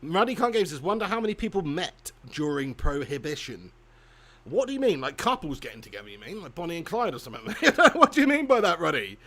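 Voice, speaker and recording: A man's voice: on the high side, very animated, brisk, a bit bright. A young man hosting a live football watch-along, chatting casually with viewers and laughing often. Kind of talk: casual live commentary reading chat.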